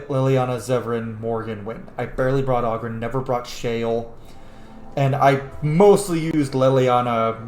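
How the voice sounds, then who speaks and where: A man's voice: medium pitch, echoey room, a little hiss or hum. A man, a young adult, hosting a gaming video with casual, sweary, emphatic commentary. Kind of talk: casual let's-play commentary.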